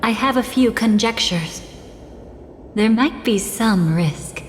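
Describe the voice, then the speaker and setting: A young woman voicing an enigmatic, teasing game character who is composed and calmly fond of danger. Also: on the high side, slow, a little hiss or hum. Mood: measured, ominous. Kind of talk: video game cutscene voice acting. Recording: long echoing reverb, game music behind.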